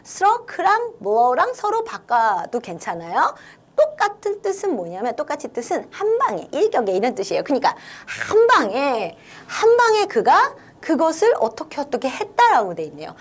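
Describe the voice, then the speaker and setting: A woman's voice: high-pitched, moderate pace. A woman teaching an online English lesson, walking young students through a story line by line and coaxing them to think along. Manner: lively, coaxing, talks to students directly.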